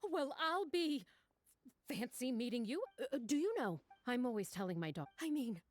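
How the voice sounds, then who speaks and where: A woman's voice: a little high, big pitch swings, normal speed, faint music behind. A woman voicing a chatty, gossipy middle-aged mother in a game, rambling on. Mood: gushing. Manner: gushing, rambling.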